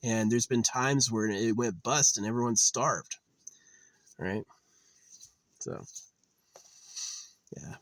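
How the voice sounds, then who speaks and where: A man's voice: medium pitch, slow pace, long pauses, no room sound, rough recording. A man in his late thirties musing in a rambling, weary monologue, with lots of fillers and restarts. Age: 30s. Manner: weary, resigned. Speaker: channel host.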